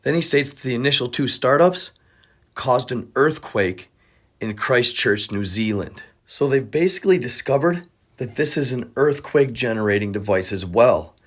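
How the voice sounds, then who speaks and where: A man's voice: mid-range voice, expressive, moderate pace. A man presenting an explainer as a content creator, with a conspiratorial storytelling manner and rhetorical questions. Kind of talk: explainer narration to camera. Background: background music.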